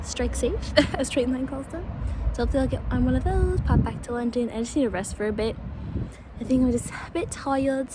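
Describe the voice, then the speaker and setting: A woman's voice: high-pitched, a little fast, very noisy. A woman hosting a travel vlog, talking casually and chattily straight to her viewers. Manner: chatty, informal, addressing viewers directly. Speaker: travel vlogger and host.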